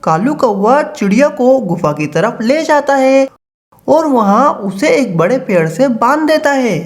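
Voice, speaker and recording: A woman narrator with a high voice tells a children's story. She reads scripted narration for an animated bedtime tale. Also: a little slow.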